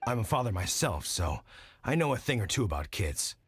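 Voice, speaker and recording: A man's voice: on the low side, big pitch swings, a little fast, a little hiss or hum. A man voicing a kindly middle-aged hunter dad in a video game, folksy, reflective and supportive. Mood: concerned, reflective.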